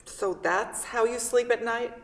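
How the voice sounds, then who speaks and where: A woman playing a bitter, ailing mother who complains and accuses from a hospital bed, in a staged play reading. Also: a little slow. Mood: bitter, accusing. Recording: rumbly, echoey hall from far off.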